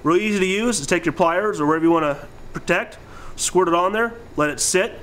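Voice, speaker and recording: A man's voice: high-pitched, fairly quick, fairly bright tone. A man in his thirties giving a casual, plain-spoken product demonstration as a presenter. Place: indoor warehouse aisle lined with stock bins.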